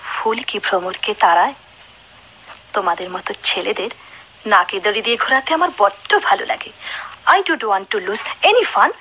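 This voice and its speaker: A woman's voice: medium pitch, expressive, a little slow, long pauses, bright, forceful tone, slightly rough, full character voice. An actress with a Bangladeshi accent playing a proud, flirtatious glamorous woman who hides her sorrow, theatrical and defiant in a radio drama. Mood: defiant, teasing. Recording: dull, noisy old radio recording.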